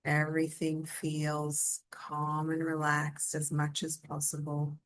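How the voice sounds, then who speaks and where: A woman with a low voice leads a guided meditation at an extremely unhurried pace. Her intonation stays quite flat and steady, and her delivery is gentle and soothing, softly inviting the listener along.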